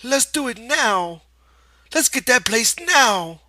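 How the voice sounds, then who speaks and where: A man's voice: big pitch swings, slow, no echo, heavily acted. A man voicing a big, simple-minded man with a childlike, eager, pleading manner and rustic speech, in a storybook reading. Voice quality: high, loud, strained voice.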